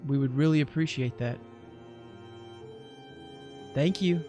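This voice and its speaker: A man's voice: on the high side, slow pace, over loud music. A man hosting a reaction livestream with casual, jokey, rambling commentary that often interrupts itself. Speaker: livestream reaction show host.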